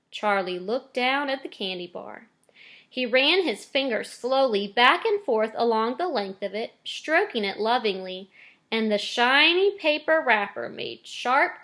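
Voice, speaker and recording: A woman's voice: on the high side, fairly bright tone. A woman reading a children's storybook aloud expressively, like a teacher to students.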